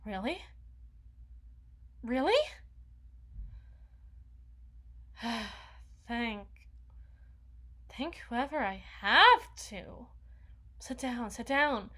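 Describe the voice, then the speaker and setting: A woman's voice: a little high, lively intonation, extremely slow, pauses often, sharp tone, exaggerated voice. A woman voicing an awkward, needy, housebound young vampire in an ASMR roleplay, rambling and stammering as she corrects herself. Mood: disbelieving, grateful, eager. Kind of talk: intimate ASMR roleplay monologue.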